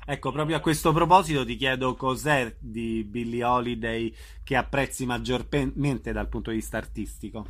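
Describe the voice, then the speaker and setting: A man's voice: medium pitch, moderate pace, hardly any pauses. A man interviewing guests in a conversational radio style, putting his questions informally.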